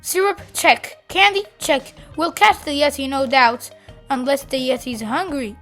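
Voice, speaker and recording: A woman voicing an excited, determined child adventurer in a children's storybook, eager and full of exclamation. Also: sits high, unhurried, soft background music. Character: excited, determined young kid hunting a yeti.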